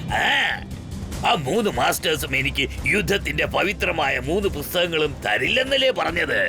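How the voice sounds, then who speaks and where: A man's voice: a little high, animated, moderate pace, music in the background. A man playing a boastful, vengeful martial arts villain in a cartoon, with theatrical menace. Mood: gloating, vengeful. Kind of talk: Malayalam cartoon dub acting.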